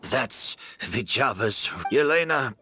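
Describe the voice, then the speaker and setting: An actor playing an ailing, sombre man resigned to confessing, speaking wearily and deliberately in an anime game dub. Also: mid-range voice, lively intonation, sharp tone, faint music behind. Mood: grave, resigned. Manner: slow, weary, deliberate. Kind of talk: English anime game dubbing.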